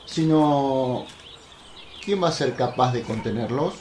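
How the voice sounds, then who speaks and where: An actor playing a humble, plain-spoken apostle, earnest and talkative, in a religious radio drama. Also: male voice, mid-range voice, takes it slowly, a little mellow, natural voice. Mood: devoted, practical. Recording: roomy echo, faint noise, birdsong behind.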